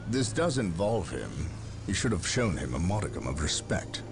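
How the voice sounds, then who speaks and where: An actor playing a stern, brooding male space marine captain who speaks in a grave, measured, commanding way. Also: low-pitched, big pitch swings, over music. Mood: resolute. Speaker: soldier. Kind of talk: video game cutscene acting.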